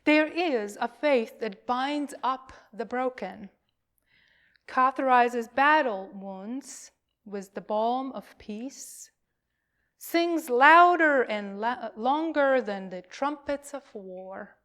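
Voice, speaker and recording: A woman minister leading worship, delivering poetic words in a solemn, emphatic and deliberate way. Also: fairly high pitch, animated, fairly bright tone. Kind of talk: call to worship, poetic reading.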